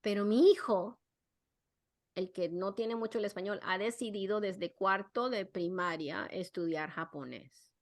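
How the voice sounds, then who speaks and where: A woman's voice: medium pitch, measured pace. A middle-aged woman chats easily as a podcast guest. She restarts her sentences often, repeats words while she searches for them and drops in English. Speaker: guest, clinical psychologist and parenting expert. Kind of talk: casual podcast conversation.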